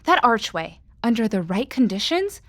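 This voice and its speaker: A woman's voice: a little high, big pitch swings, normal speed, no room sound. A woman playing a cautious, secretive guardian of a magic doorway in an audio drama. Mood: mysterious, explaining. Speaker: voice actor.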